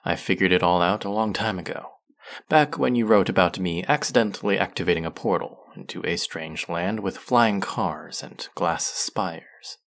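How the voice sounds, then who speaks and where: A man playing a vengeful, brilliant fantasy villain in an audio roleplay, deliberate, sardonic and threatening, with an intimate delivery that can drop toward a whisper. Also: deep voice, creaky, a bit bright. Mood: mocking, bitter.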